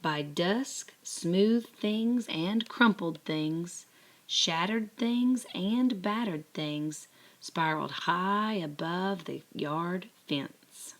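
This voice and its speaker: A woman narrating a children's picture book aloud in a deliberate, expressive way. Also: fairly low pitch. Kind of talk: scripted children's story narration.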